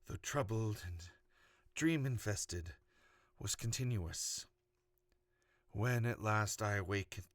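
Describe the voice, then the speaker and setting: Male horror narrator, lowish pitch, dramatic audiobook delivery, very dry close recording. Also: fairly bright tone, no echo. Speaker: host and narrator of horror story readings.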